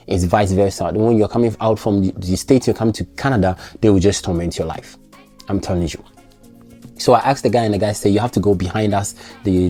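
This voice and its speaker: A man's voice: lowish voice, dark tone. A man vlogging about his trip, recounting it animatedly with many restarts and self-corrections. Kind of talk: casual travel storytelling.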